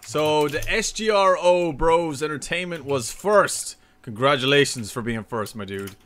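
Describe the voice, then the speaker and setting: A man's voice: a little high, a little slow, a bit bright. A man hosting a casual live-streamed podcast, upbeat, greeting viewers and reading out chat names. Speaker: podcast host.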